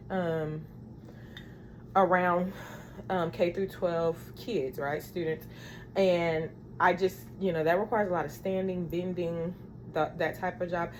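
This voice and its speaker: A woman in her thirties chatting casually to her vlog viewers in a rambling, confiding way, calling them y'all. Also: lowish voice. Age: 30s. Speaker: vlogger. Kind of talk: casual chat to camera. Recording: faint background noise.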